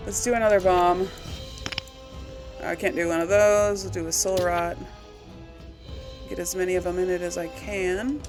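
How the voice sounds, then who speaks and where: A woman's voice: lowish voice, fairly even intonation, normal speed, long pauses, loud music behind the voice. A woman giving casual commentary on a game she is playing, thinking aloud and often questioning herself. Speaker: gamer hosting a role-play playthrough.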